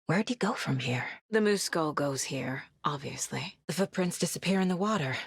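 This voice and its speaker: Casting: determined female investigator, horror game. Lowish, slightly husky voice, naturalistic cinematic delivery, introspective and measured. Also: expressive, no echo, studio quality, heavily acted. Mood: focused, curious. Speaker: video game voice actress.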